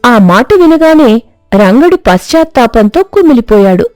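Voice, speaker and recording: A woman's voice: fairly high pitch, very animated, a little slow, darkish tone, dry recording. A woman reading a scripted moral story as its narrator. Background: music.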